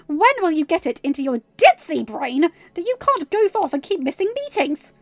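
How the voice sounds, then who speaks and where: A woman's voice: very high voice, very animated, moderate pace, dry recording, lo-fi sound, voice pushed up. A woman voicing a contemptuous woman who berates people sharply in a story reading. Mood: angry, contemptuous. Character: scolding, contemptuous woman on speakerphone.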